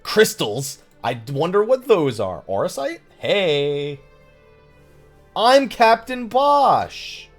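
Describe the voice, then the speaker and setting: A man live streaming a game, commenting with excitable interjections and sarcastic asides and reading dialogue aloud. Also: high voice, slow pace, faint music behind.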